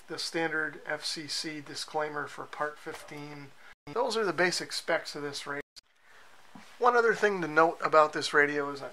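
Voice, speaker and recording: Bright-voiced man in a casual walkthrough, noisy room. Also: on the high side. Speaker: radio reviewer. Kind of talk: casual hands-on product walkthrough. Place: cellar with concrete walls.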